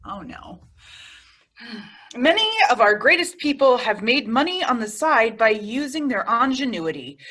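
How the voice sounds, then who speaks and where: Sensible, scolding female wisecracker for radio comedy, bright and animated, slightly rough-edged voice, acted by a woman. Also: a little high, unhurried, heavily acted. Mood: reading aloud, mocking. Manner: dry, scolding. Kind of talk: old-time radio comedy acting.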